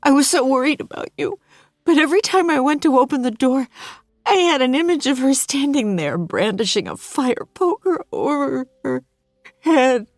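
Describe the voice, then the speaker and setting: A frightened young mother spills out breathless, pleading lines, as a woman narrator puts her on. Her voice climbs high and wavers with nerves. The recording is dry and close. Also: big pitch swings, a little slow, darkish tone, dry recording. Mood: shaken. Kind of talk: audiobook character voice.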